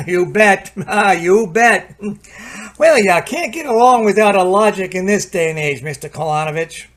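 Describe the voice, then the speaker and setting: A man's voice: sits high, animated, a little slow, a bit bright. An actor playing a folksy, patient gadget salesman in a radio play, chatty and colloquial, hemming and hawing. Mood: obliging sales patter.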